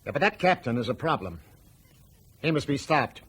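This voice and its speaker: A man's voice: medium pitch, sing-song, normal speed, a bit bright, slightly rough. A man acting in a radio drama as a ruthless, impatient company boss with a brusque, domineering manner.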